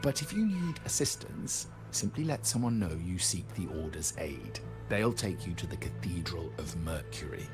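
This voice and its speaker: An earnest religious brother in a video game. His voice sits slightly low, and he speaks with formal, devout courtesy. Music is clearly heard behind him. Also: sing-song, moderate pace, few pauses. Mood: courteous.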